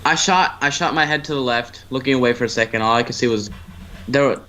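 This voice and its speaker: A man's voice: mid-range voice, fairly quick, pushed and bright, a little hiss or hum. A man reading a story aloud as an amateur narrator, stumbling over words and restarting phrases.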